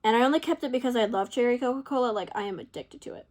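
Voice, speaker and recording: Young woman vlogger, slightly high, chatty and rambling, voice worn from long talking. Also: racing, darkish tone. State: losing her voice. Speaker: beauty vlogger. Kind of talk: casual chat to camera.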